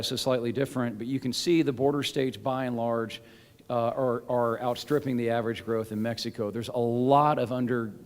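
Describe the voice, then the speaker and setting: A man's voice: medium pitch, a little mellow. A man giving a conference keynote speech in a folksy, self-deprecating way, with many fillers and restarts. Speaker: keynote speaker. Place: large conference hall. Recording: echoey hall with long reverb.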